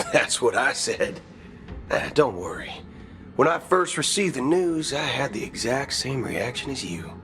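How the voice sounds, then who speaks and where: An actor playing a folksy, principled space ranger gunslinger in a video game cutscene, drawling theatrically. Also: male voice, mid-range voice, very animated, a little slow, a bit bright. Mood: animated, confiding. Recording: music behind the voice.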